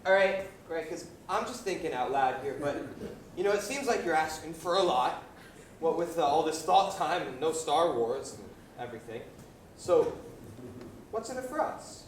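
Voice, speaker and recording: A woman's voice: low voice, lively intonation, normal speed. A young woman playing an eager, loyal, slightly dim little boy in a student stage comedy, talking like a pep coach. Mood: cocky, puzzled. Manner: pep-talking, repeats right and okay. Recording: very echoey hall, distant mic, faint noise.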